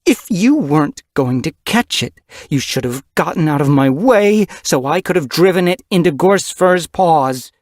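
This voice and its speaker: A man's voice: on the high side, expressive, moderate pace, hardly any pauses, dry recording, studio quality, voice pushed up, lightly acted. A man voicing a short-tempered female cat clan leader who scolds in clipped words. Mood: furious.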